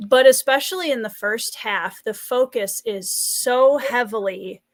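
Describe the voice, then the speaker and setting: A woman's voice: on the high side, measured pace. A woman on a book discussion panel, giving her opinions casually.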